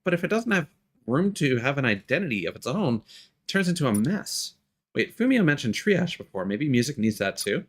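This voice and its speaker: A man's voice: medium pitch, big pitch swings, normal speed. A man voicing a thoughtful, concerned young woman as he reads anime game dialogue aloud. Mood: puzzled.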